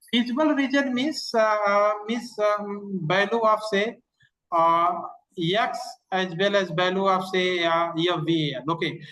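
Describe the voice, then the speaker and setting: An online instructor answers questions in a live technical lecture. The delivery is halting and repetitive, with frequent small verbal tags punctuating each step. The pitch sits in a middle range. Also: a bit bright, rough recording.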